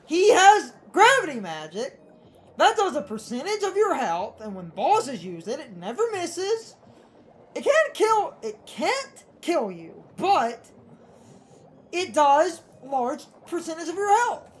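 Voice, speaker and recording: A woman's voice: high voice, sing-song, slow. A woman doing casual gaming commentary, explaining things in a rambling, argumentative way over game music. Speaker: let's play co-commentator. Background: video game music.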